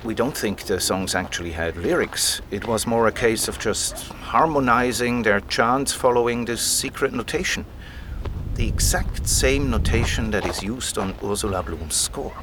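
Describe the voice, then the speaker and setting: A man's voice: medium pitch, normal speed, background noise, lightly acted. An actor playing a keen, patient male scholar who explains things like a storyteller in an audio drama. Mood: thoughtful. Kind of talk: conversational audio drama dialogue.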